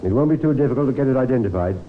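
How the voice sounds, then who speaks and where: Male actor as formal, deliberate police inspector, medium-pitched, vintage radio crime drama, very thin sound. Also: lively intonation, lightly acted. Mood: pondering. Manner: formal, deliberate, clipped questioning. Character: sober, methodical British police inspector. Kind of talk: old time radio drama acting. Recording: tinny, noisy old radio recording.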